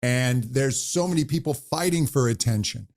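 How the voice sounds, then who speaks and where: A man who coaches voices, talking animatedly in an interview and demonstrating high and low pitch as he explains. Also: moderate pace, darkish tone. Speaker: voice coach guest. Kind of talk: conversational interview.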